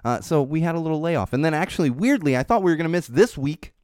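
A man's voice: fairly high pitch, expressive, quick pace, a little mellow, dry recording, clean studio sound. Congested chatty male podcast host. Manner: chatty, rambling, self-promoting. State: congested from a cold. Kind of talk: casual solo podcast talk. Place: small studio. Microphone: studio microphone.

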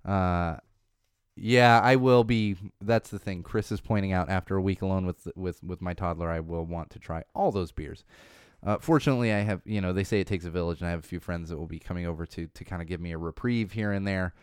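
A man chats his way through a podcast in his home studio, rambling and restarting his sentences. His voice sits a little low and sounds smooth and clear, recorded close and dry, though it is still slightly off from an ear infection. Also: fairly quick, no room sound. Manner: chatty, rambling with many restarts and fillers. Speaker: podcast host. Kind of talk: casual podcast chat.

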